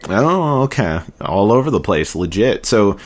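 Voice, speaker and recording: A man with a very deep voice hosting a podcast interview. He rambles in long, eager stretches and reacts warmly to his guest. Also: very animated, unhurried. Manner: rambling, enthusiastic, talks at length. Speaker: podcast host. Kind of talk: conversational interview.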